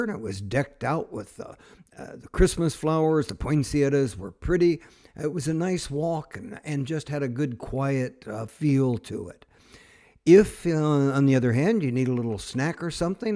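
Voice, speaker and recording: Male travel narrator, mellow and relaxed tone, folksy and informal, halting delivery. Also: mid-range voice. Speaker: travel show host and narrator.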